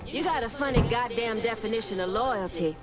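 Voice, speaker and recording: A woman's voice: a little high, lively intonation, brisk, over loud music, natural voice. A woman playing a proud, combative gang leader in a video game cutscene, blunt and confrontational. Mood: angry, indignant.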